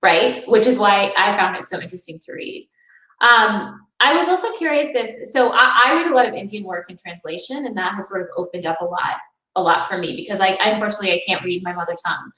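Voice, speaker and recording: A woman's voice: mid-range voice, animated, fast talker, sharp tone. Brief: woman moderator, curious and friendly, echoey room, sits away from the mic. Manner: friendly, curious, many fillers. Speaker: interviewer host. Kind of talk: moderating a book talk. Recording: roomy, distant video call audio.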